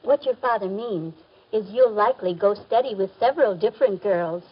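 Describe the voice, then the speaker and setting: A woman acting as a calm, reasonable middle-aged mother explaining things in a 1950s educational film. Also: medium pitch, moderate pace, breathy edge, lightly acted. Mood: gentle, advising. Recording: dull, muffled 1950s film soundtrack.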